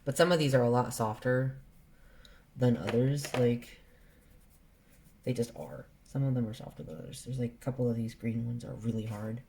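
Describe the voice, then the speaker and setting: Woman in her 40s, gravelly low-key voice, muttering to herself about colors as she draws. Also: moderate pace, background noise. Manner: chatty, thinks aloud, trails off mid-sentence; relaxed, self-talk about the colors. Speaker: art vlogger. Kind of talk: casual chat while drawing.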